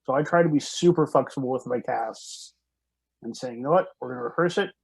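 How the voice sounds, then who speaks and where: A man with a husky voice talking casually as a director on a video call panel. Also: mid-range voice, brisk, rough recording. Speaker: director and script adapter. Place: remote group video call.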